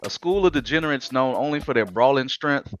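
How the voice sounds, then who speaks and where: A talkative man hosting a casual podcast, rambling through his opinions with animated asides. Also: mid-range voice, fairly quick, low-quality recording. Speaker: podcast host. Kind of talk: casual chat.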